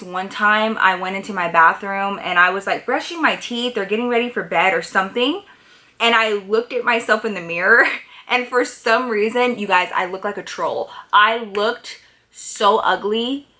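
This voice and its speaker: A woman vlogger with a bright, forceful voice at a medium pitch. She chats casually to her viewers, handing out advice in a blunt, candid way and leaning on her points with emphasis.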